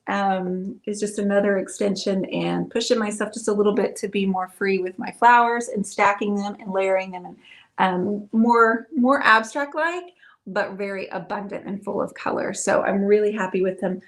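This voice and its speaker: A middle-aged woman hosting a live painting stream, chatting casually and warmly with viewers, with frequent "um"s. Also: medium pitch, normal speed. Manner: chatty, friendly, talks to viewers with many fillers.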